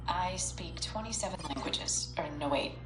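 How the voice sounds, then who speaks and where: An actress playing a brilliant, quirky female linguist answering interview questions in a soft-spoken way. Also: fairly low pitch, expressive, normal speed, roomy sound, music in the background, natural voice. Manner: soft-spoken, breezy with little laughs. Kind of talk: interview in a game cutscene.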